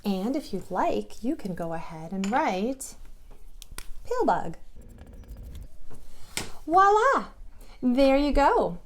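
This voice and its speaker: A woman teaching a drawing lesson to preschool children, guiding them gently and patiently, step by step. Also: on the high side, sing-song, takes it slowly, long pauses, a little hiss or hum.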